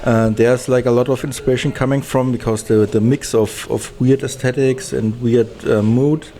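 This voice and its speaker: A man speaking casually as an interviewed film director, halting and often searching for words. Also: medium pitch, steady tone, dark tone, slight background noise.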